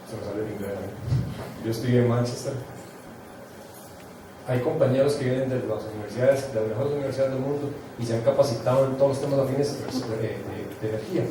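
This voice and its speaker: A male university researcher, explaining figures on a conference panel with frequent fillers. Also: mid-range voice. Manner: explanatory, self-correcting with fillers. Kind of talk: panel talk with statistics. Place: large hall. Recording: echoey hall, far from mic, noisy.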